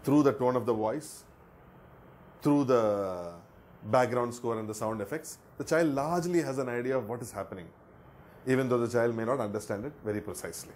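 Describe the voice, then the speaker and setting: A man's voice: mid-range voice, brisk, a little mellow, a little hiss or hum. A man explaining a learning method in an earnest way, repeating words for emphasis. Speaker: education presenter. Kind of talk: explanatory talk.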